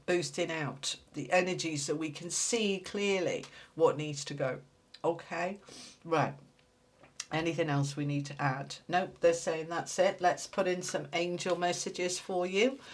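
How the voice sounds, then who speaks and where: A woman gives a tarot reading to her audience in a casual, chatty way. Her voice sounds congested and a little nasal, as if a cold is coming on. She talks plainly and bluntly while still cheering the listener on. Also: fairly low pitch. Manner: direct, blunt, encouraging. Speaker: tarot reader.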